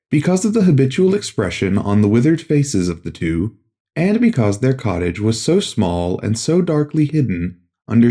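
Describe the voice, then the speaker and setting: A man narrating a gothic tale from a script as an audiobook, in a measured, solemn storytelling manner. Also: mid-range voice, dark tone.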